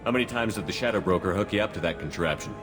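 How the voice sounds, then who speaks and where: A man playing a steady, supportive spaceship commander in a video game, calm and direct. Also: low voice, rapid-fire, lightly acted. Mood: probing, sympathetic. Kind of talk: scripted video game voice acting. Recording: long reverb, music behind.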